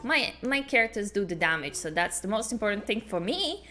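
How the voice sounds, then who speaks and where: A woman hosting a casual gaming reaction video, chatting in an excitable, giggly way. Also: mid-range voice, brisk. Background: game music.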